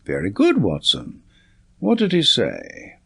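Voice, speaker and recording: A man's voice: medium pitch, wildly swooping pitch, slow, gravelly, bright, forceful tone, husky, exaggerated voice. A man voicing a keen, severe master detective in an audiobook, clipped, commanding and precise. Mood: incisive, probing. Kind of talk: audiobook character reading.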